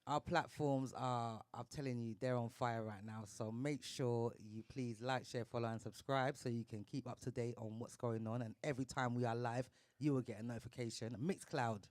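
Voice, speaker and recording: Male podcast host, casual and upbeat, slangy shout-outs, middle pitch, dry close sound. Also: fairly even intonation, moderate pace, hardly any pauses, dry recording. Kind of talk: casual live talk show.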